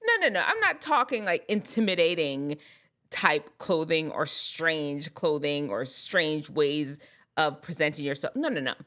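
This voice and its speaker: Female vlogger, mixed Jamaican-American accent, fairly low voice, crisp enunciation, chatty and animated. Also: a little slow. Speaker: vlogger giving advice. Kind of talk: casual advice talk to camera.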